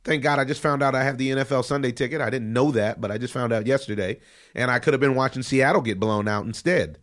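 Animated man hosting sports talk with a head cold. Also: mid-range voice, fast. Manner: animated, emphatic riffing. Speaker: podcast host. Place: studio in Los Angeles.

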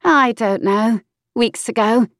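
A woman voicing an impudent young mistress in an audiobook, with a high, airy, pert voice. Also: slow pace, a bit bright, no room sound, studio quality. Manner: pert, teasing.

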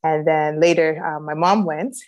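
A woman's voice: deep voice, steady tone, normal speed. Reflective woman with light Jamaican accent. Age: adult, a grown child. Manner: reflective, many "um"s, warm laughs. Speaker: guest, reboot coach. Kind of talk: personal storytelling in interview.

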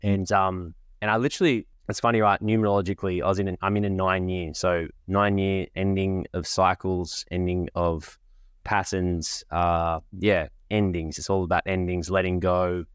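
Deep-voiced man with a cold. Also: flat delivery, moderate pace, smooth, no echo, clean studio sound. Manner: rambling, conversational. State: has a cold with a cough. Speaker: podcast host. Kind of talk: casual monologue.

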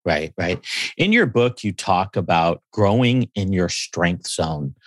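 A man with a very deep voice hosts a podcast interview. He asks short, encouraging questions, and his voice sounds dry and close with no room echo. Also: lively intonation, takes it slowly, a bit bright. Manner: brief, encouraging questions and acknowledgements. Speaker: podcast host. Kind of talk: casual interview chat.

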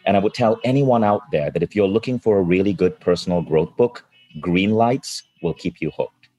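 A man's voice: low voice, normal speed, mellow, dull audio, soft background music. A male host running a live-streamed interview, enthusiastic and speaking to the audience watching.

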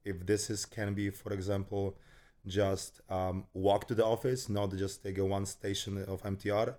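Polish-born male fitness guest, low register, playful, halting English. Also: little pitch movement, darkish tone. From Poland. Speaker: podcast guest, fitness expert. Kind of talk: casual chat.

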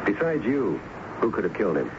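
A persistent, brooding police lieutenant in a vintage radio drama. His low voice moves expressively through terse, probing questions. Clear noise runs through the old recording. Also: huge pitch swings, fairly quick, dull audio. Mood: pressing.

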